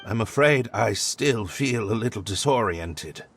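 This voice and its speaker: A man playing a remorseful young nobleman in a video game cutscene, speaking formally, earnestly and haltingly. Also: lowish voice, lively intonation, a little hiss or hum. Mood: dazed. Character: weary, disoriented young nobleman, pompous upbringing, remorseful.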